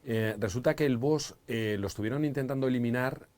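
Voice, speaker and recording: A man hosting a casual podcast as a Spanish teacher, asking lively, curious questions. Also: medium pitch, fairly quick, no room sound.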